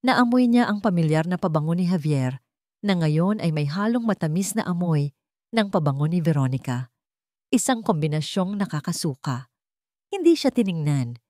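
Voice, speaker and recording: Low-voiced woman narrating drama. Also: darkish tone, dry recording, studio quality. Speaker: story narrator. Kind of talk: scripted dramatic narration of a romance story.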